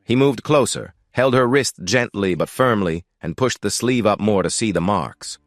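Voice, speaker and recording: A man's voice: fairly low pitch, normal speed, no room sound, studio quality. A man reading a scripted story as its narrator.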